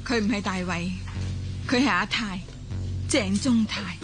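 A woman's voice: medium pitch, unhurried, natural voice. A woman playing an intense, bitter old grandmother in a Cantonese radio drama, with a Hong Kong accent. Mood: grave, knowing. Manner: intense, melodramatic. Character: old grandmother, bitter, obsessive, desperate to part two lovers. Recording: music under the voice.

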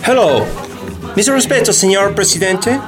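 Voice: medium pitch, animated, measured pace, natural voice. Someone doing a pompous american boss in radio satire, swoopy delivery, music underneath. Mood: ingratiating. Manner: English interjections.

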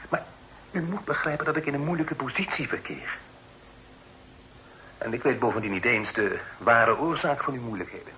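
An actor playing an earnest, cautious young gentleman in a period radio drama, speaking in a formal, measured way. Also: medium pitch. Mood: earnest, sympathetic. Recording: noisy old radio drama recording.

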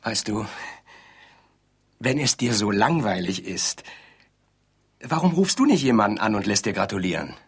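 An actor playing a placid, defensive bridegroom in an absurdist radio play, musing and mildly pompous, with a husky voice. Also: male voice, lowish voice, very animated, normal speed, long pauses, heavily acted. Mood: placating. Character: placid, defensive bridegroom, absent-mindedly insisting on their happiness.

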